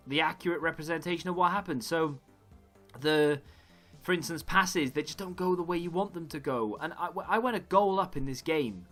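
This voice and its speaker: Bright-voiced gaming YouTuber. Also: male voice, mid-range voice. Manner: rambling, self-correcting chatter. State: slightly hoarse. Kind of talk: casual gaming commentary.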